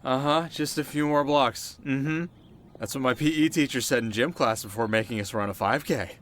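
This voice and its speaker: A man's voice: mid-range voice, moderate pace, a bit bright, slight background noise, natural voice. A man playing a cocky, teasing delinquent teenage boy in an ASMR roleplay, talking casually and wryly straight to the listener. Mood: teasing, skeptical. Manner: casual, wry, sarcastic, sometimes flustered. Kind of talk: ASMR audio roleplay, one-sided dialogue to listener. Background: music.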